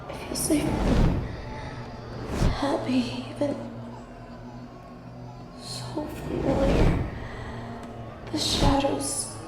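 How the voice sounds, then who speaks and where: Loud music and long reverb surround a woman acting as a dazed, haunted female angel in an audio drama. Her high voice drifts very slowly in halting, dreamy fragments. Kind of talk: scripted audio roleplay. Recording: echoing distant hall reverb, loud music.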